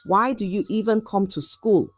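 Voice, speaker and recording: A woman's voice: mid-range voice, moderate pace, dry recording, close to an everyday voice. A woman voicing a cruel, mocking rich schoolboy bully in a story. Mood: mocking taunts. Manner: mocking, taunting.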